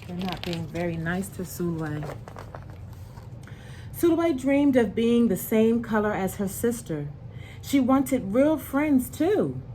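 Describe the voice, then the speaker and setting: Female children's read-aloud host, slow and deliberate, expressive, simple asides, slightly noisy recording. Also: medium pitch. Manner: slow, deliberate, explains pictures in simple words. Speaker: storyteller reading a picture book aloud to children.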